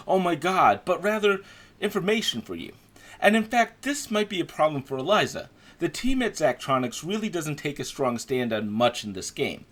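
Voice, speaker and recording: A man's voice: medium pitch, a bit bright. A man giving conversational, opinionated video review commentary as a game reviewer, leaning emphatically on key words.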